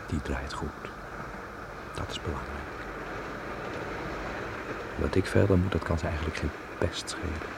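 Radio play, heavy noise: bitter young Dutchman adrift, very low, gravelly inner monologue. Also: a little slow, long pauses, exaggerated voice. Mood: bleak, muttering. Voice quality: low, gravelly, hushed murmur. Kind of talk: radio drama interior monologue. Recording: noisy old radio play recording.

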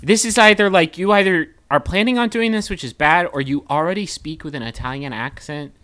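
A man's voice: fairly high pitch, a little fast, sharp tone. A man co-hosting a comedy podcast, casual and blunt, with mock exasperation. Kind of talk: casual comedic banter, reading listener submissions.

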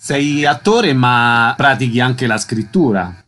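A man hosting a radio interview, introducing and questioning his guest in a measured way. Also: medium pitch, lively intonation, fairly bright tone.

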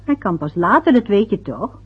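Family radio play: a restrained, soothing woman with a very husky voice, heard on a badly muffled recording. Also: mid-range voice, very animated, normal speed. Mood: placating. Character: patient, soothing family member of a grumbling old man. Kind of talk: radio drama acting.

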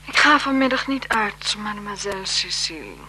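Haughty, secretly seductive noblewoman, poised and evasive, lively intonation, vintage radio drama with noisy sound. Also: mid-range voice, moderate pace. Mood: cool, dismissive. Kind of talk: 1970s Dutch radio drama acting.

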